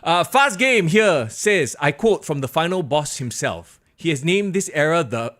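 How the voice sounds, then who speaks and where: A young man with a Singaporean English accent hosting a wrestling podcast alone, reading viewer comments in an excitable way, his voice going hoarse. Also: unhurried. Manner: excitable, animated, drops to low mutters. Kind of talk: solo live-stream chat reading viewer comments.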